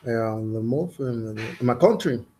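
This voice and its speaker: A man with a Colombian Spanish accent answering exam questions in halting English, hesitant, with many restarts, over a video call. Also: mid-range voice, animated, darkish tone, a little hiss or hum. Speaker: police officer, exam candidate. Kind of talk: halting second-language answers.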